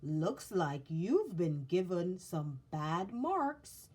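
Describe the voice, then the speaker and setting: Woman playing a large fatherly woodcarver man, kindly, reassuring, deliberate slow speech, expressive pitch, children's storybook. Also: breathy edge, exaggerated voice.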